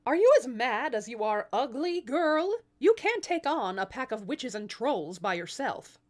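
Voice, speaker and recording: A woman's voice: a little high, big pitch swings, measured pace, darkish tone, pitched above the performer's own voice. A woman voicing a flustered, worried queen mother in a comic fairy tale, dramatic and incredulous. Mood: worried, exasperated.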